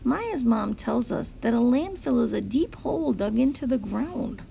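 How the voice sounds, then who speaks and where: A woman's voice: a little high, animated, moderate pace, vocal fry, warm tone, highs cut off, a little hiss or hum. A woman reading a picture book aloud to young children, explaining things simply like a teacher. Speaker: teacher-like read-aloud host.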